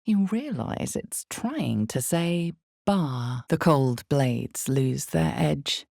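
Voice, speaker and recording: An actress playing the grave, ominous narrator of a dark fantasy video game, speaking in a measured, theatrical way with a deep, gravelly voice. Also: female voice, animated, no room sound, studio quality, heavily acted. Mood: grave, brooding. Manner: measured, deliberate, brooding, theatrical. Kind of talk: dramatic scripted second-person fantasy narration.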